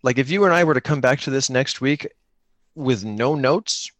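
A man's voice: medium pitch, moderate pace, fairly bright tone, no echo. A man in casual conversation, speaking earnestly and encouragingly with somewhat hesitant phrasing. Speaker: podcaster and guest. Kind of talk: casual chat about gaming.

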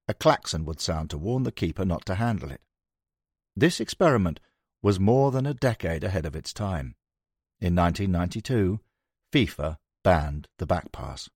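Low-voiced man narrating, lively range. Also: breathy edge, dry recording, studio quality. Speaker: audiobook narrator. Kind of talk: scripted narration of a sports history book.

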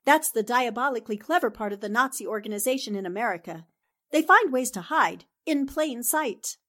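Casting: actress for sharp, self-assured newspaperwoman, higher register, vintage radio-play delivery. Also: expressive, brisk. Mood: confident, explanatory. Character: sharp, confident newspaperwoman explaining a murder plot. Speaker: voice actor in an amateur radio drama. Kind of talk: 1940s radio drama acting.

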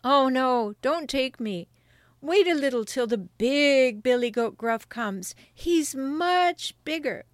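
A woman's voice: sits high, animated, slow pace, no echo, clean studio sound, pitched above the performer's own voice. A woman voicing a middle-sized billy goat, anxious and pleading, in a storybook tale.

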